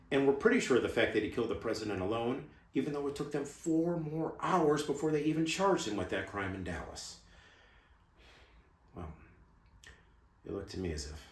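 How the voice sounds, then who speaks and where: A man performing a dramatic monologue as a guarded, cynical ex-military intelligence insider, confiding secrets in measured, emphatic, clipped phrases. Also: medium pitch, natural voice. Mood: incredulous, suspicious. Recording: roomy, echoing room sound.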